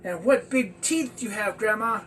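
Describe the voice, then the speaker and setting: A man's voice: high-pitched, a little slow, a bit bright. A man voicing an innocent, polite little girl in a storybook fairy tale. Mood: puzzled. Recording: distant mic with faint background noise.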